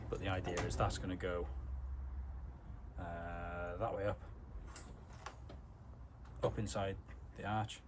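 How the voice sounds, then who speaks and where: Male DIY mechanic vlogger, low and monotone, informal step-by-step delivery, noticeable background noise. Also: slow, pauses often. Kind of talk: casual hands-on tutorial narration.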